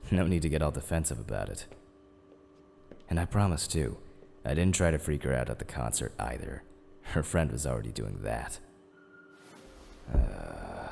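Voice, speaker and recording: A man playing a secretive, scheming character in an audio drama, with a gravelly voice and a casual, evasive, theatrical manner. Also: extremely low pitch, a little mellow, exaggerated voice. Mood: defensive. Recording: long hall reverb under music.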